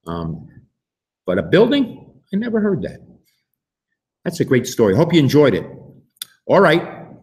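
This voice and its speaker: A man in his sixties with a husky voice, reading a picture book aloud to young children in an expressive, unhurried way. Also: fairly high pitch. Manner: expressive, unhurried, with emphatic swings. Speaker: story time host reading aloud. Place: school library, indoors.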